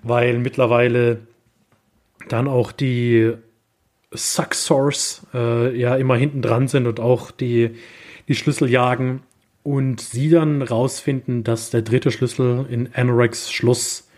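A man co-hosting a casual film discussion podcast, speaking haltingly as he searches for his words. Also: mid-range voice, fairly even intonation, darkish tone. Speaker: podcast co-host.

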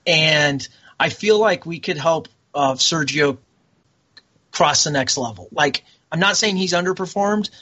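An enthusiastic man talking loosely in casual conversation. His voice is bright and somewhat high-pitched. The recording sounds dull and lo-fi. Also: unhurried. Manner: chatty, rambling, enthusiastic. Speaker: guest, military veteran. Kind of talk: casual podcast chat.

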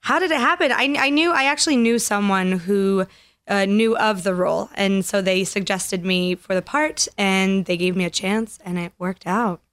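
A woman's voice: mid-range voice, moderate pace, fairly bright tone, no echo. Modest woman guest, dry studio sound. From France. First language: French. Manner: friendly, modest, hesitant with fillers. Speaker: actress guest. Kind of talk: casual interview. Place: radio studio.

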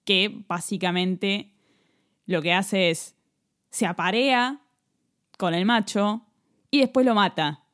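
A woman's voice: medium pitch, takes it slowly, pauses often, a bit bright. A woman with an Argentine accent co-hosting a true-crime podcast, telling a case in casual chat, laughing often and restarting her sentences. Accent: Argentine Spanish.

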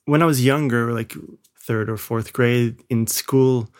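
A man of average pitch who grew up in Malaysia talks from his home studio as a podcast guest. He answers in a loose, reflective way, restarting phrases and hedging as he thinks. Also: fairly even intonation, unhurried. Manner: reflective, with frequent restarts and hedges. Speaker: guest, film composer. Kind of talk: casual interview talk.

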